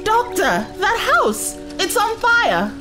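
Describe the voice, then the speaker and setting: A woman acting in an audio drama as a bold, impulsive young adventurer with a brisk, take-charge manner. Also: extremely high pitch, wildly swooping pitch, exaggerated voice. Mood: urgent. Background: loud background music.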